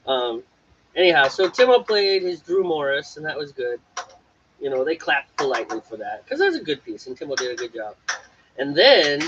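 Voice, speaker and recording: A man co-hosting a homebrewing stream, telling stories in a playful, animated way and mimicking other people. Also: on the high side, a little hiss or hum. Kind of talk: casual chat. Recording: lo-fi, dull livestream audio.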